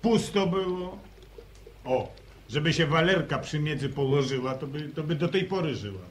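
Fields: an actor playing a calm, sensible farm son. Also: male voice, on the high side, normal speed, natural voice. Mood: matter-of-fact. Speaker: radio drama character. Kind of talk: 1950s radio play acting. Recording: faintly noisy old radio recording.

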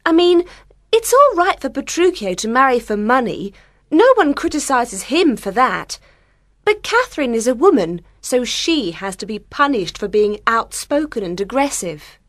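A woman's voice: fairly high pitch, big pitch swings, normal speed, no room sound, studio quality, voice pushed up. A woman voicing an outspoken, emotional, strong-willed young woman, earnest and emphatic, in a dramatised audiobook. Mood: earnest, opinionated.

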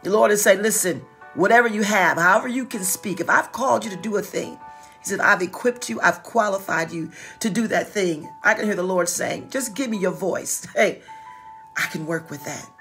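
A woman's voice: medium pitch, normal speed, a bit bright, soft background music. A middle-aged woman preaching informally as a pastor, repeating phrases for emphasis, with a slight stutter. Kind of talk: informal livestreamed sermon. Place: small wood-paneled office.

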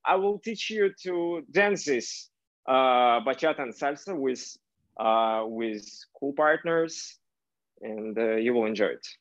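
Young man, halting video call talk. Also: mid-range voice, expressive. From Kazakhstan. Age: young adult. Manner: halting, many fillers and restarts. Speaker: host. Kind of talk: casual cooking and dance chat on a video call. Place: home kitchen. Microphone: laptop webcam on a video call. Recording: muffled video call audio.

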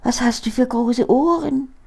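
A woman's voice: fairly high pitch, measured pace, vocal fry, a little mellow, slightly rough, pitched above the performer's own voice, exaggerated voice. An elderly woman voicing an innocent, wondering little girl in a fairy tale, earnest and exclaiming. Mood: anxious wonder.

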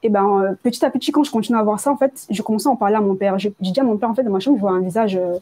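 Young woman, about 19, mid-pitched and softly dark in tone, telling her own story informally and haltingly. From Congo, moved to France at age nine. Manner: hesitant, repeats words, colloquial youth phrasing. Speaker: person giving a testimony. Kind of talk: personal testimony, informal spoken storytelling.

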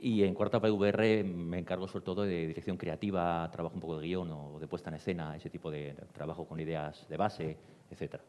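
Low-voiced man presenting in an echoey hall. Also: little pitch movement, a little fast, a little mellow. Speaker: presenter, creative director. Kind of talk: informal panel presentation. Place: dark small theatre stage, panel table.

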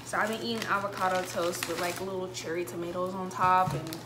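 A woman's voice: lowish voice, level tone, a little fast. Young woman, casual vlog chat, informal and conversational, roomy kitchen sound, noticeable background noise. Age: young adult. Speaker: college student vlogger. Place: small home kitchen, indoors. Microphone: camera a couple of metres away. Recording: echoey room with background noise.

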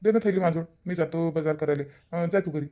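A man's voice: on the high side, fairly even intonation, fairly quick, warm tone, natural voice. A man voicing a forgetful, henpecked village farmer husband in a comic cartoon, rustic and submissive, with a Varhadi Marathi accent. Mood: placating. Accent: Varhadi Marathi, Vidarbha. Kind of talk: amateur comic cartoon voice-over.

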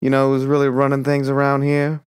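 A woman's voice: extremely low pitch, monotone, fairly quick, darkish tone, clear, resonant tone, voice pushed down. A woman voicing a boastful, scheming man who talks in a brash, folksy way.

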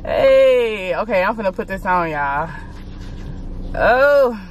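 A young American woman, a beauty vlogger, chatting casually to camera in a playful, slangy, expressive way. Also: mid-range voice, slow pace, rough recording. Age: young adult. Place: inside a car. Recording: car cabin noise.

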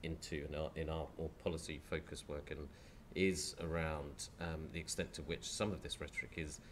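Man chairing a panel, very low croaky voice with a cold, faint hiss behind, hesitant restarts. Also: little pitch movement, normal speed. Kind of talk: panel discussion. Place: lecture hall with audience.

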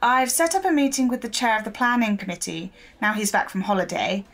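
An actress playing a keen, polite and persistent young newspaper reporter in an audio drama. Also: female voice, medium pitch, a little fast, close to an everyday voice. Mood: businesslike.

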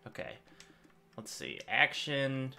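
A man's voice: mid-range voice, takes it slowly, gravelly, faint music behind. A young man hosting a gaming channel, thinking aloud in rambling casual commentary while he plays, recorded at a desk in a small room at home. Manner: rambling, thinking aloud, lively swings in loudness.

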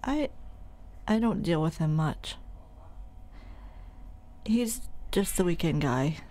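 A woman's voice: lowish voice, expressive, takes it slowly, warm tone. A woman playing a reserved, matter-of-fact radio station coworker in an audio drama, speaking casually. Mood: guarded, concerned. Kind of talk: horror audio drama acting.

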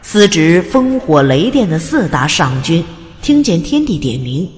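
A woman's voice: on the low side, big pitch swings, measured pace, darkish tone, soft background music. A woman reading scripted narration of a fantasy audiobook in a dramatic storytelling style. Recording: long hall reverb.

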